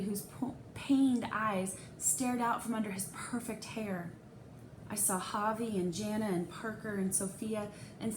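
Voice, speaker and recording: A woman reading a children's story aloud in an expressive, dramatic way with emphatic pauses. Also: medium pitch. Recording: echoey room, faint background noise.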